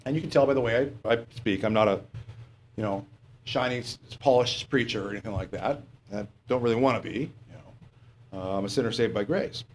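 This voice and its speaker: A middle-aged man preaching a sermon in a plain, halting way, asking rhetorical questions and pausing on fillers. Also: on the low side, slight background noise.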